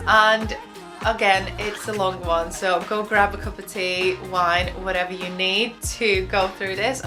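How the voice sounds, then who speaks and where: A woman hosting her design channel, introducing a guest with upbeat, giggly asides. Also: medium pitch. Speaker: host, interior designer. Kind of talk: YouTube channel intro and interview.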